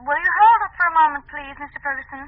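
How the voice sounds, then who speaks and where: A woman acting a courteous secretary in a radio play. Her high voice moves quickly and formally over a tinny phone line. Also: lightly acted. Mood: businesslike. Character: efficient, formally polite office secretary.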